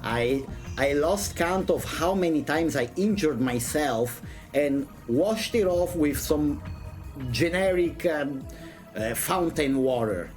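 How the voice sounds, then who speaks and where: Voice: medium pitch, animated, slow, a little mellow, faint music behind. A non-native English speaker streaming, chatting casually in a jokey, sweary, slangy way between bits of dramatic read-aloud. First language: not English. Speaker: streamer reading a visual novel aloud and chatting.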